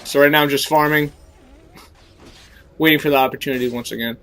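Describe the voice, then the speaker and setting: A young man narrates his game in a relaxed, teaching way, stopping to explain his choices. His voice sits slightly high for a man and sounds thick and stuffy, with a scratchy throat from a cold. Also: brisk. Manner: explanatory, coaching tone with many fillers. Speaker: gameplay commentator. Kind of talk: casual game commentary.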